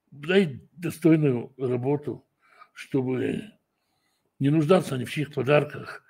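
A man praying and then teaching from Scripture, speaking slowly and deliberately, often pausing to correct his own words. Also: mid-range voice, creaky, darkish tone, husky. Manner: slow, measured delivery with frequent hesitations and self-corrections. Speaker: preacher and Bible teacher. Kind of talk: prayer, then a Torah study lesson.

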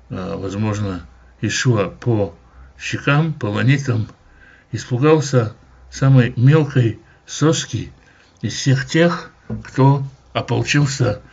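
A man explains a Bible chapter passage by passage, speaking in a measured, explanatory way and now and then pausing to hesitate. His voice sits at an ordinary pitch and has a husky edge. The recording sounds dull and lo-fi. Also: darkish tone. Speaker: Bible teacher. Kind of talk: verse-by-verse Bible commentary.

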